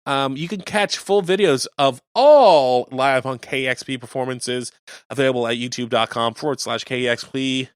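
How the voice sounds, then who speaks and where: A man's voice: medium pitch, moderate pace, clean tone, no room sound, studio quality. A man co-hosting community radio, chatting casually and reading out show listings. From Canada.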